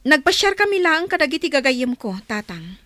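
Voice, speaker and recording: A woman's voice: high-pitched, sing-song, moderate pace, pushed and bright, exaggerated voice. A Filipino woman playing a chief's young, dutiful but secretly daring daughter in a radio drama, speaking respectfully. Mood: apologetic, dutiful.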